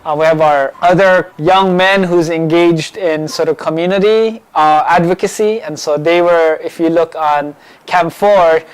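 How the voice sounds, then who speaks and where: A man who grew up in Hawaii, welcoming people to a community bike shop, speaking in a halting way with many fillers. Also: on the high side, steady tone, clean tone, low-quality recording. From Hawaii, born in the Philippines. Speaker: program manager of a youth bike shop. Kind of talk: spoken welcome and introduction.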